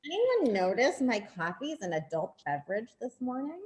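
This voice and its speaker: A woman's voice: a little high, sing-song, normal speed, darkish tone. A woman in her fifties reading a prepared, humorous personal reminiscence aloud. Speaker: participant reading a personal piece.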